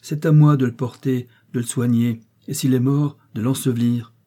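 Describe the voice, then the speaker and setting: A man's voice: mid-range voice, fairly even intonation, moderate pace, dark tone, natural voice. A man voicing a miller's wife in a storybook reading, resolute and devoted, firm and composed. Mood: grave, resolute.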